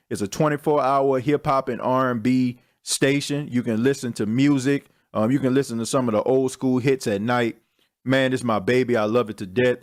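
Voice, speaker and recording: A young man hosting his own podcast, talking in a candid, slangy, self-mocking way as a casual solo monologue. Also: mid-range voice. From Texas. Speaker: podcast host.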